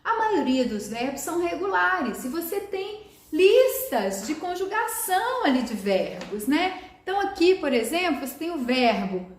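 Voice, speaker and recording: A woman teaching Portuguese in a relaxed video lesson, drawing out each example word slowly and carefully. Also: sits high, reverberant. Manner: slow, careful, stretches out example words.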